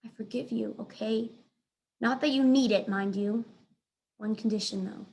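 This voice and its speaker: A woman voicing a tough, calm, kind female dog in a children's storybook, blunt and reassuring. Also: fairly high pitch, normal speed, creaky, rough recording. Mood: forgiving.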